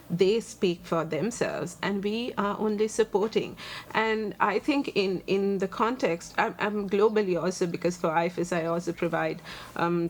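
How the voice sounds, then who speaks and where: Earnest Sri Lankan woman, mid-pitched. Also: a little hiss or hum. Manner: earnest, thoughtful, many fillers. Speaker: guest, human rights lawyer and advocate. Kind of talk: interview answers.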